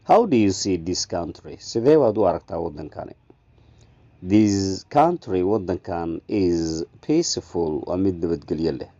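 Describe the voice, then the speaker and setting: A man teaching a language lesson who reads each phrase slowly and then translates it. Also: sits low, very animated, mellow, dry recording. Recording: dull lo-fi audio.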